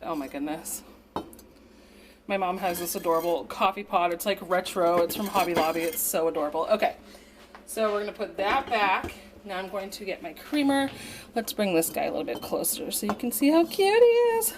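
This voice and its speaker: Young woman, slightly raspy voice, chatty, upbeat, home vlog, camera propped up nearby. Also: fairly low pitch, animated, a little fast, noisy background. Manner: chatty, upbeat, filler-heavy. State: slightly raspy from dust allergy. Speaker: vlogger. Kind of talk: casual vlog chat. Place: home, indoors.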